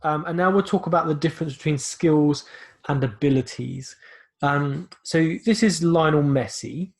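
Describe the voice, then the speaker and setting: A man giving an online class briefing as a teacher, talking with many fillers and restarts in a loud voice. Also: on the high side, moderate pace, vocal fry.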